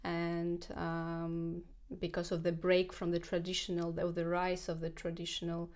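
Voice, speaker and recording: A woman co-hosts a casual podcast with a fairly low voice. She speaks haltingly, stopping often with uhs and restarting her sentences. Her talk is loose and unscripted. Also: monotone, darkish tone. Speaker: podcast co-host, violinist. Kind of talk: casual conversational chat.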